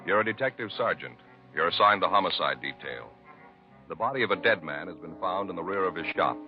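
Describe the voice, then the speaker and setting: Vintage radio announcer, male, American: lowish, very animated intonation, emphatic scripted read, dulled old broadcast sound. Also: fairly quick, lo-fi sound, music in the background. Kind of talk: 1950s radio announcing, scripted narration and ad reading.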